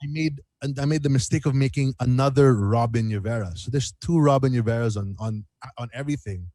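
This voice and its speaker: Casual, animated man with a close, dry sound. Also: medium pitch, brisk, dry recording. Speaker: singer-songwriter guest. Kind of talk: casual radio interview chat.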